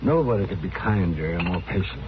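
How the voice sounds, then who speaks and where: Crusty, swooping old country doctor. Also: male voice, sits low, lively intonation, brisk. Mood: grave, confiding. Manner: grumbling, hemming, folksy. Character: crusty, kindly older frontier town doctor. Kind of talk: old-time radio western acting. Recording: muffled, noisy old radio recording.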